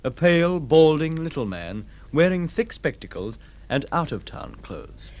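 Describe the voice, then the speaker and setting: An actor playing a portentous story narrator in mid-century radio drama style, with deliberate phrasing. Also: male voice, a little high, sing-song, a little mellow. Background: music.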